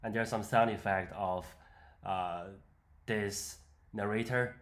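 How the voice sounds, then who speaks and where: A man presenting an unscripted software walkthrough, explaining step by step in a hesitant way. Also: fairly low pitch, steady tone, takes it slowly. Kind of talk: unscripted screen-demo walkthrough.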